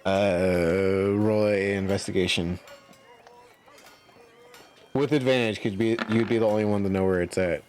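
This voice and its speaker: A man speaking casually and haltingly as game master, improvising narration for a tabletop role-playing game. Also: lowish voice, steady tone, a little mellow, slight background noise.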